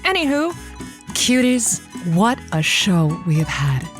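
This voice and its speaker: A chatty lonely-hearts radio host in an audio drama, with a medium-pitched voice and music underneath. She is affectionate and folksy, playful but a little awkward, often halting as she talks. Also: very animated. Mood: affectionate sign-off.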